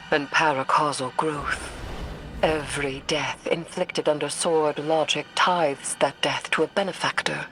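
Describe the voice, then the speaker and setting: An actress playing a solemn, intimidating female scholar of dark lore in a video game, formal, measured and cool. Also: low-pitched, background noise. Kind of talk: video game cutscene acting.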